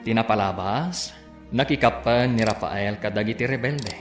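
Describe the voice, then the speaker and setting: A man's voice: fairly low pitch, normal speed. A man announcing and narrating a radio drama in a dramatic, scripted, declamatory style. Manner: declamatory, emphatic. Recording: echoey radio studio over music. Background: theme music.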